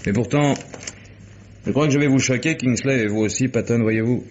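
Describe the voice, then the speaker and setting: An actor playing a world-weary, sardonic male private detective in a 1950s French radio mystery, speaking deliberately with dramatic pauses. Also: mid-range voice, a little mellow, slight background noise, natural voice. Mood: bitter, weary. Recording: muffled vintage radio drama recording.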